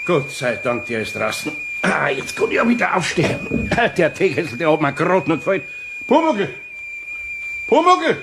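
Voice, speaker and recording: Older bavarian craftsman guy, stammers n repeats, flustered but good natured, highish swingy voice, noisy old radio play tape. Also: sing-song, normal speed, gravelly. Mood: exasperated. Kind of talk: German children's radio play acting.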